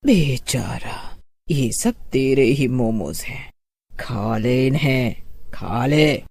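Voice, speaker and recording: Lady doing a creepy horror story witch, low dark voice, drags her words out, taunting. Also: animated, vocal fry, husky, no echo, heavily acted. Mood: sinister, mocking. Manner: slow, taunting drawl. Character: menacing female witch selling momos, taunting and sinister.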